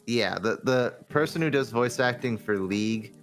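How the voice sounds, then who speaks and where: A man in a casual podcast discussion, complaining in an animated way and often saying "like". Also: medium pitch, normal speed, faint music behind. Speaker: podcast guest.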